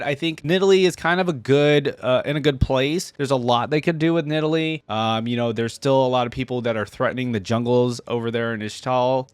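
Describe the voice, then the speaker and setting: A man's voice: mid-range voice, smooth, no echo. Man, reaction video host, casual rambling commentary, talks directly to viewers, close dry recording. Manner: conversational, addresses viewers directly.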